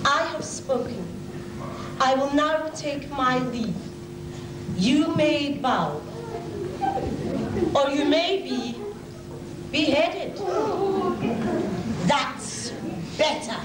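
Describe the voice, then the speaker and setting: A woman's voice: high voice, expressive, drawn-out words, long pauses, full character voice. A woman playing a pompous, imperious wicked queen in an amateur stage pantomime. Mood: mocking, commanding. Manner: pompous, theatrical, commanding. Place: school stage, recorded from far off. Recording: distant, echoey, noisy school hall stage recording.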